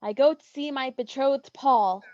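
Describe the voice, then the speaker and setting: A woman's voice: high voice, measured pace, darkish tone, no echo, highs cut off. An actress playing a coy, lovestruck young woman confessing her feelings in earnest, theatrical Shakespearean verse. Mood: eager. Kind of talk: Shakespearean staged reading.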